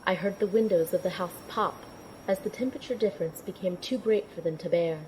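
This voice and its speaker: A woman's voice: lowish voice, brisk, darkish tone, a little hiss or hum, close to an everyday voice, low-quality recording. A woman as a dramatic young heroine narrator. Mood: suspenseful. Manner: dramatic, earnest. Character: young heroine narrating her own suspenseful adventure. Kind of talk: scripted first-person narration.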